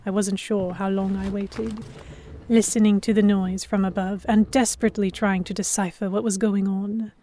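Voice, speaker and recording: A woman giving expressive first-person narration in the style of a dramatic audiobook. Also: medium pitch, background noise.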